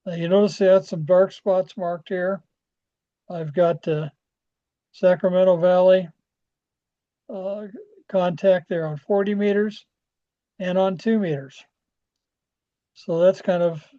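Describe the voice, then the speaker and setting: Slow-talking American man, dull lo-fi sound. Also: high voice. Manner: halting, trailing off, rambling. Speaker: presenter training radio club members. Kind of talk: informal software walkthrough. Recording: dull, lo-fi screen-share audio.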